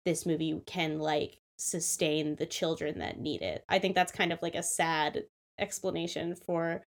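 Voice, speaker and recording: A woman's voice: sits low, fairly even intonation, a little slow. A young woman in her twenties giving a casual but careful critique of a film, hedging her points as she goes. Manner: thoughtful, hedging, many "like" fillers.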